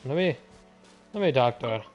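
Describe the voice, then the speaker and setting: A man's voice: medium pitch, animated, moderate pace, highs cut off, soft background music. A man livestreaming a game, reacting in a casual, exclamatory way. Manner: exclamatory, informal.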